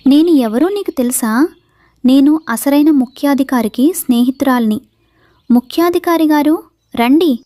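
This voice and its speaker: A woman's voice: high-pitched, moderate pace, mellow, no echo, natural voice. A woman voicing an earnest, motherly sparrow, caring and firm, in a children's cartoon. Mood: defiant.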